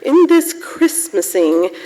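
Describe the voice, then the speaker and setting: A woman narrating a radio drama story in a measured, literary manner. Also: mid-range voice, very animated, dark tone. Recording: echoey hall with long reverb.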